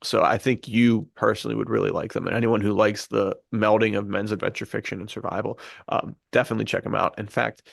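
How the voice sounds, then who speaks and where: A man's voice: on the low side, steady tone, fast, vocal fry, few pauses, dry recording, studio quality. A man co-hosting a podcast, casually and enthusiastically recommending things. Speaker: podcast co-host. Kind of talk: casual pop-culture podcast chat.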